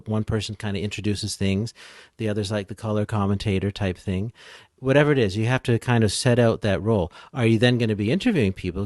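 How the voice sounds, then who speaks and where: Lower-pitched man, casual podcast guest. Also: darkish tone, no echo, studio quality. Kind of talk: conversational explaining and advice.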